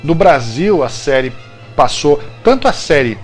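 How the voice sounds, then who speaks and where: Higher-pitched Brazilian man hosting a chatty show. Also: sing-song, unhurried, faint music behind. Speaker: show host. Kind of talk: casual, chatty TV-trivia commentary.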